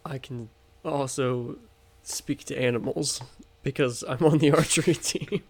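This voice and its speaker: A young American man from the Midwest co-hosting a podcast, improvising comic stories in a casual, halting, giggly way. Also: medium pitch, fairly even intonation, a little mellow. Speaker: podcast co-host. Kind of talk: casual comedic improvised storytelling.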